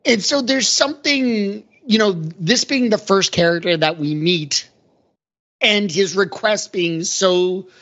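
A man's voice: high-pitched, takes it slowly, a bit bright. A male podcast panelist talks through ideas in an earnest, explanatory way. His delivery is conversational and analytical. The audio is somewhat muffled and lo-fi. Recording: dull, lo-fi audio.

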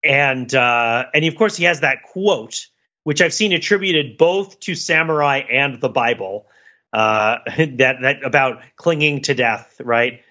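A man's voice: mid-range voice, animated, measured pace, sharp tone, muffled recording. A man co-hosting a podcast, talking through a film in a casual, rambling, analytical way. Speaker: podcast co-host.

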